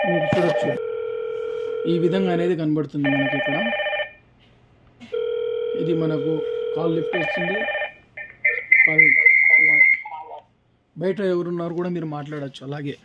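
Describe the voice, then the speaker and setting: Higher-voiced man presenting, dull lo-fi recording. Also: heavy background noise. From South India. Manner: explanatory, repetitive, addresses viewers as friends. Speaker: presenter for a security systems shop. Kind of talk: informal product demonstration to camera. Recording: dull lo-fi sound with music behind.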